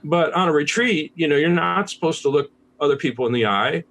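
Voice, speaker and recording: A man's voice: fairly high pitch, animated, brisk, fairly bright tone. An American man talking casually as a podcast guest, candid and laid-back, swearing freely. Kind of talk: casual conversational interview.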